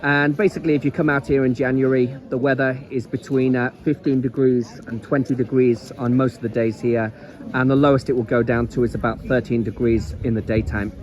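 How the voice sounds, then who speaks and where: A man's voice: mid-range voice, level tone, fairly quick, darkish tone, muffled recording. A man with a Liverpool accent giving a rambling, chatty travel guide while walking along an outdoor seaside promenade. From England. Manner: chatty, rambling, repeats words. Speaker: travel vlogger. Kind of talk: casual walking travel vlog.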